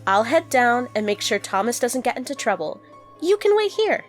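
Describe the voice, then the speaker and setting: An actress as an earnest, chiding young woman in a radio drama. Her fairly high voice moves animatedly as she reassures, over faint music. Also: big pitch swings, moderate pace. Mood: reassuring.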